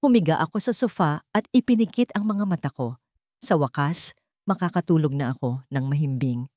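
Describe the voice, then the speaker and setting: Woman, lower-pitched voice, dry close sound, dramatic audiobook narration. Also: normal speed, a little mellow, no echo. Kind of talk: dramatic first-person scripted narration.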